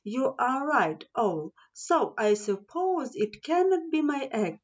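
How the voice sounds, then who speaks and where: A woman's voice: high voice, sing-song, takes it slowly, muffled recording, full character voice. A woman voicing a small, humble male mole in a storybook, speaking in a mild, tentative way.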